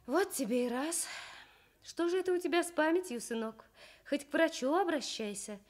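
A woman playing a patient, firm mother with measured, gently probing speech in a children's radio story. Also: high-pitched, animated, pitched above the performer's own voice. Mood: puzzled, probing.

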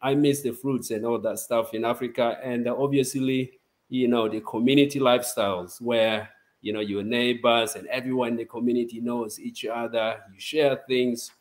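Brief: young South Sudan-born man, guest on a video call, relaxed and chatty. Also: medium pitch, moderate pace. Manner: relaxed, chatty, laughs easily. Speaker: interview guest. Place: home office.

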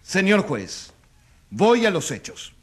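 An actor playing a combative, undaunted newspaper editor making an accusation in a radio drama, with emphatic, oratorical delivery. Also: male voice, high voice, big pitch swings, slow, breathy edge, full character voice. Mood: solemn.

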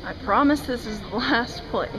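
A woman's voice: fairly high pitch, moderate pace, low-quality recording. A young woman chatting casually and cooing hesitantly to horses, recorded on a handheld camera. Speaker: amateur videographer. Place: fairground horse barn. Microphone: handheld camera held by speaker. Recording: busy crowd noise, handheld camcorder audio. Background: crowd chatter in horse barn.

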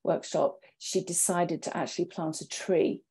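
A woman's voice: on the low side, steady tone, normal speed, dark tone, slightly rough. A middle-aged woman reflecting as a panelist on a video call from her home study. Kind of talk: reflective discussion. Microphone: wired earphones on a video call.

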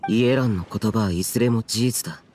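An actor playing a stern, reserved guardian warrior in a game cutscene, speaking formally in a measured, restrained and archaic way. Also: male voice, lowish voice, a little mellow, slight background noise, natural voice. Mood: grave, reflective. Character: stern, stoic yaksha guardian, reserved and grave. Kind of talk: dramatic game cutscene dialogue.

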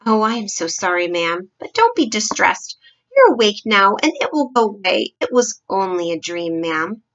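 A woman's voice: medium pitch, big pitch swings, moderate pace, fairly bright tone, lo-fi sound. Woman playing a fretful, deferential middle-aged maid. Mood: soothing.

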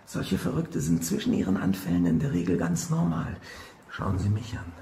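An actor playing a brooding, protective doctor with a menacing edge in a German radio thriller, speaking haltingly. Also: male voice, lowish voice, big pitch swings, gravelly, mellow, heavily acted. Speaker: actor in an audio drama. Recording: roomy, faint background noise.

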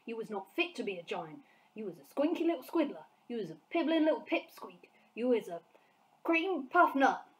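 A woman voicing a brutish, greedy male giant who jeers in gruff, mangled grammar. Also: a little high, big pitch swings, normal speed, voice pushed up, poor audio. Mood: jeering.

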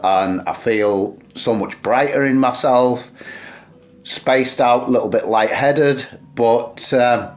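A man's voice: mid-range voice, slow. A man chats casually to camera as a vlogger, rambling and repeating himself a little. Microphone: camera at arm's length. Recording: very noisy camera audio.

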